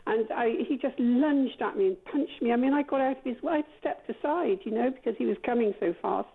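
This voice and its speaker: A woman on a tinny phone line with a fairly high voice. She rambles and hesitates, trips over her words and circles back over what she has already said. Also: fairly quick, creaky, breathy edge. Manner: rambling, hesitant, repeats herself. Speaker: caller. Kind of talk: phone-in call.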